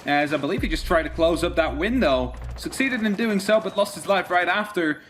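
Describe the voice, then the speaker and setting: Higher-voiced man commentating esports, non-native English speaker. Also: fairly quick, fairly bright tone, slight background noise. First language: not English. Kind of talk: live play-by-play commentary with casual banter.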